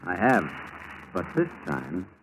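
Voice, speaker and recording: An American man playing a determined, methodical FBI agent in 1940s radio drama style, with a brisk, clipped, businesslike manner. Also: low voice, big pitch swings, a bit bright, heavily acted. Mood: intent, determined. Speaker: actor in a radio crime drama. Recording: noisy 1940s radio broadcast recording.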